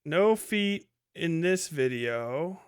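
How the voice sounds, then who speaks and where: High-pitched, clear-voiced male streamer. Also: animated, very slow. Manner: heavily profane, exasperated, incredulous. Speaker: livestream host. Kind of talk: casual livestream commentary.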